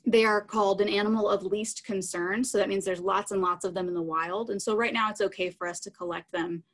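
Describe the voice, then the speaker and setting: A woman in her thirties speaks as a science educator at a middling pitch. She explains things plainly and informally, in words children can follow, into a computer mic in a room at home. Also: fairly even intonation, brisk. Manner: explanatory, plain-spoken, addressing children simply. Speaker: science educator presenting live animals. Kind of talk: informal live educational talk with audience questions. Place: small room at home, indoors. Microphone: computer mic on a video call.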